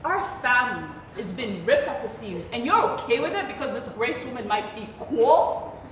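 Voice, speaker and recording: A woman's voice: fairly high pitch, lively intonation, moderate pace, a bit bright. An indignant, dramatic adult daughter in a stage play. Her voice swings widely and emphatically in sarcastic exasperation. It is very echoey and heard from a distance in a theatre. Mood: upset. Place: indoor theatre stage, recorded from far off. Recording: echoey theatre, distant mic, faint noise.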